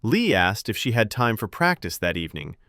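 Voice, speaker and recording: A man's voice: fairly low pitch, very animated, a little fast, a bit bright, no room sound, studio quality. A man reading scripted fiction narration as a storyteller.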